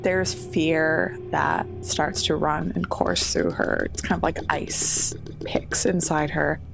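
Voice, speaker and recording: A woman's voice: low-pitched, fairly even intonation, slow, music in the background. A woman playing a tabletop roleplaying game, casually narrating what her character feels and does. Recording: clearly noisy background.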